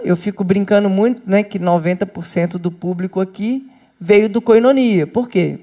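A man, a pastor hosting a live talk for a small church group, speaking in a lively, chatty way and calling his listeners brothers and sisters. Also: high voice. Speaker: pastor and host. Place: indoor home church group meeting.

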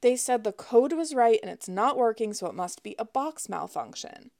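Audiobook role: female reader as girlish young woman, high and over-emphatic, pleading her way through a phone con. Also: expressive, normal speed, gravelly, darkish tone, no room sound, clean studio sound. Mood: feigned panic. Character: young woman faking gushing, high-pitched panic on a call.

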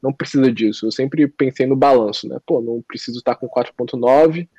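A man's voice: medium pitch, a little fast, mellow, breathy edge, dry recording. A young man, answering a podcast interview thoughtfully, with many restarts and hesitations. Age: young adult. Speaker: podcast guest. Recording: dull lo-fi dry sound.

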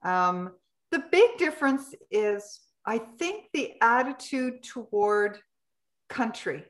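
A woman's voice: fairly high pitch, expressive, takes it slowly. A woman, answering interview questions in a conversational way. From Canada. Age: 60s. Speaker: interview guest, health and lifestyle advocate.

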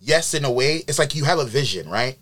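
A man's voice: mid-range voice, brisk. A man who grew up in New York, an event planner chatting casually on a podcast, telling his story with plenty of ums and likes. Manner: relaxed storytelling with many fillers.

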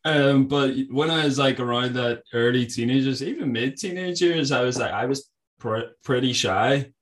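Relaxed young man, podcast host. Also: medium pitch, normal speed. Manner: relaxed, laughs, self-described monotone. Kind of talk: casual podcast chat.

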